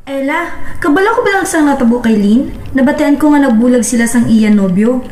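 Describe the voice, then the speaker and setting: A woman's voice: sits high, animated, brisk, darkish tone, faint music behind. A woman playing a gossipy, frank young best friend in an amateur radio drama, chatty and blunt, with a Western Visayan Filipino accent. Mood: curious, sympathetic. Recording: roomy echo, faint background noise.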